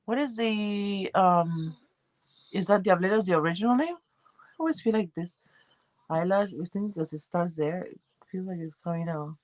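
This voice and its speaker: A chatty woman with a light Argentine accent hosts a relaxed livestream, rambling from one thought to the next as she reads and answers comments. Her voice sits a little low for a woman, and the sound is dry and close with no room echo. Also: normal speed. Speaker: livestream host. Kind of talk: casual livestream chat with viewers.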